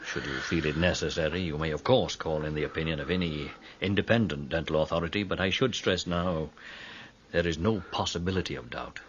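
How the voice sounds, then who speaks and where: Formal pathologist, deep voice, precise emphatic delivery, radio drama, faint noise behind. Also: male voice, fairly quick. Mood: formal, certain. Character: formal, precise Home Office dental pathologist. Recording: dull radio recording, faint background noise.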